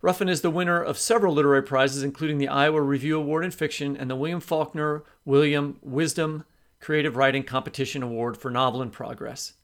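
A man with a slightly high voice hosts an interview podcast. He talks in a discursive way, often reworking his phrasing as he goes. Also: steady tone, fast. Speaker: podcast co-host. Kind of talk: introduction and interview questions.

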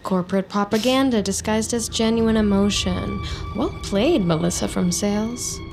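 A woman playing a scheming, sardonic office climber in an audio drama, with dry and deliberate delivery. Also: medium pitch, wildly swooping pitch. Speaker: protagonist narrating inner thoughts. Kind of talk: audio fiction acting with inner monologue. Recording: music under the voice.

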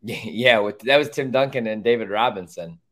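A man's voice: on the low side, normal speed, fairly bright tone. A man co-hosting a casual sports podcast, talking in a blunt, opinionated way and swearing freely.

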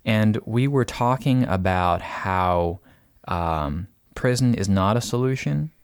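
A man hosting a casual podcast, reading out a listener's letter and talking thoughtfully with many pauses and fillers. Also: fairly low pitch, animated, clean tone, no echo, studio quality. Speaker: podcast host.

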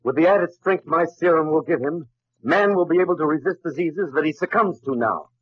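A man's voice: a little high, a little fast, breathy edge, dry recording. An actor playing a driven young male scientist in an old radio drama, intense and melodramatic. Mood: proud, eager. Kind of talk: 1940s radio acting. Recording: muffled, dry old radio recording.

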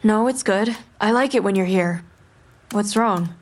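A woman's voice: mid-range voice, unhurried, close to an everyday voice. A woman playing a concerned young person at home with a detective parent in an audio drama. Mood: gentle concern.

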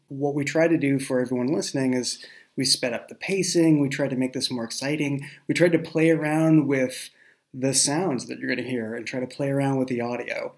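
A man who writes and creates a show, chatting casually and explaining in a halting, self-correcting way. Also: medium pitch. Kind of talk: casual behind-the-scenes chat.

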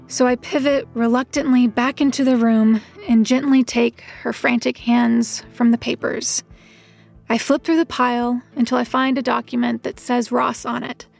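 Tired wry woman narrating her story, crackly fry, measured, soft music behind, fiction podcast. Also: fairly high pitch. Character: world-weary, recovering-alcoholic woman narrator, reluctant detective's helper. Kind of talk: first-person scripted audio drama narration.

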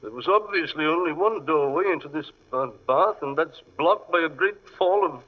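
An actor playing an anxious British gentleman scholar, fretful and hesitant, in 1940s radio drama style. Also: fairly high pitch, normal speed, lightly acted. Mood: anxious, earnest. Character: anxious, flustered titled British gentleman archaeologist. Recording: muffled vintage radio recording.

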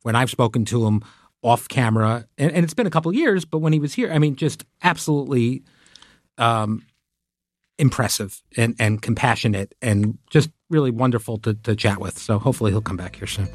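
A man hosting a talk podcast who is agreeable and affirming, often halting and restarting as he speaks. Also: medium pitch, no room sound, clean studio sound. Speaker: podcast host and executive producer. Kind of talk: casual interview chat.

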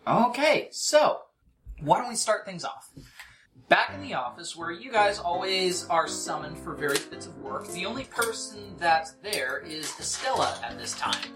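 A man running a tabletop game as game master, narrating in a relaxed, chatty way. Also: sits high, a little slow, roomy sound, over music. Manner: relaxed, chatty, uses fillers.

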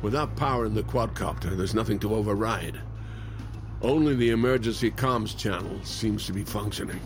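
A man's voice: fairly low pitch, moderate pace, close to an everyday voice. An actor playing an anxious, wry man on the run in an audio drama, talking in a nervy, informal way. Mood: urgent, insistent. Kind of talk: audio fiction acting. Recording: loud dramatic music bed. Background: music and vehicle sounds.

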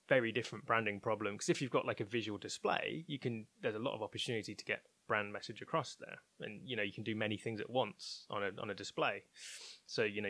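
A man's voice: on the low side, fairly bright tone, no room sound. A man giving a conversational interview, thoughtful and hedging, often restarting his phrases. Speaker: interviewed research and development head.

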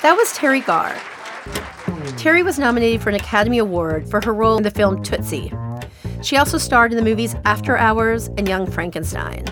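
A woman's voice: a little high, animated, brisk, over music, a crowd in the background. A woman with an American accent hosting a radio program in scripted narration. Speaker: radio show host.

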